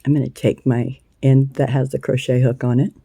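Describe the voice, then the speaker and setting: A woman with a scratchy voice hosting a casual crochet tutorial, chatty and unhurried, with plenty of ums and uhs. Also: a bass voice, level tone, creaky, warm tone, husky, dry recording, clean studio sound. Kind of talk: casual hands-on craft tutorial.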